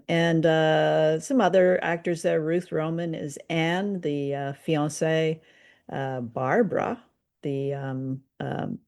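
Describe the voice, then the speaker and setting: Podcast host, mid pitch, chatting casually and keenly about old radio plays. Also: a little mellow. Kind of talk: casual discussion of old-time radio drama.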